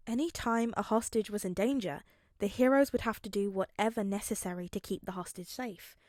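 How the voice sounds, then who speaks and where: A woman's voice: fairly high pitch, darkish tone, no room sound, studio quality. A woman reading scripted story narration in the style of an audiobook. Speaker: fan fiction narrator.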